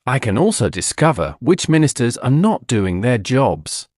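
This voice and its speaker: A man's voice: mid-range voice, sing-song, normal speed, darkish tone, dry recording, studio quality, close to an everyday voice. A man voicing a vain, gullible, secretly anxious emperor in a storybook, exclaiming and questioning himself. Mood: delighted, scheming.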